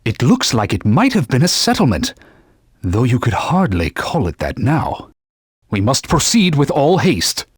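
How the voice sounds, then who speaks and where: A man's voice: medium pitch, wildly swooping pitch, a little slow, dry recording, full character voice. A man voicing a talking holy sword, a stern old knightly mentor who speaks formally and barks urgent warnings in a game. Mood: grave. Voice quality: husky, formal, commanding. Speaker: video game character. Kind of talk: game voice clips. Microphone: studio mic. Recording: dry studio recording.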